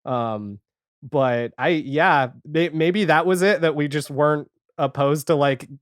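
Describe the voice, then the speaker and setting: A man's voice: on the high side, unhurried, clear, resonant tone, no room sound, studio quality. A man speaking as a podcast guest in casual chat, telling stories in a rambling way and often acting out his own remarks as quotes. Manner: rambling, self-quoting storytelling. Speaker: podcast guest, audio fiction creator and editor.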